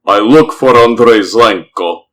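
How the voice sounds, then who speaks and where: A man playing a wistful Soviet nuclear scientist in a comic dub, with measured, formal phrasing. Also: lowish voice, a bit bright. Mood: polite, insistent.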